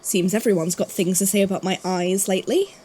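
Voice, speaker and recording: A woman playing a guarded, thoughtful investigator in an audio drama, speaking haltingly. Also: mid-range voice, steady tone, warm tone, slight background noise, natural voice. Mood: puzzled, uneasy. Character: guarded, puzzled investigator from a supernatural archive.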